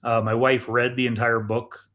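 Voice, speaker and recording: A man's voice: mid-range voice, little pitch movement, normal speed, fairly bright tone. A middle-aged man, co-hosting a casual, chatty podcast with food tasting. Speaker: podcast co-host. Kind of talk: casual chat, snack tasting.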